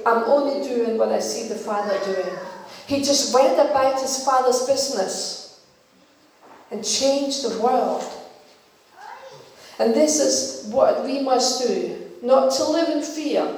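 Older female preacher from Denmark, Danish native; deliberate, repeats lines for emphasis; distant, echoey church sound. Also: high-pitched, darkish tone. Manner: slow, emphatic, repeats key phrases. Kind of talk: sermon. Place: church hall. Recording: echoey hall, from far off.

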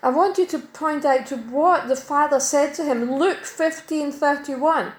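A middle-aged woman from Denmark preaching a sermon in a church, measured and emphatic, slowing to stress key words. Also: sits high. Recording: echoey church hall.

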